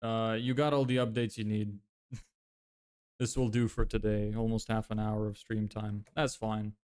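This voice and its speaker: A man's voice: lowish voice, flat delivery, normal speed, darkish tone, clear, resonant tone. A man in his twenties, originally from Lithuania, chatting casually on a livestream, thinking aloud and often correcting himself as he answers viewer questions. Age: 20s. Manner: thinks aloud, self-correcting, frequent fillers. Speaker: livestreamer building a business.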